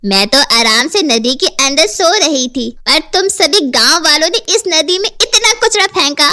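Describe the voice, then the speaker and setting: A woman's voice: sits high, lively intonation, normal speed. A woman voicing an angry, powerful river witch in a cartoon horror story, boastful and scolding. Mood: indignant. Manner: scolding, forceful. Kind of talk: animated Hindi horror story dialogue.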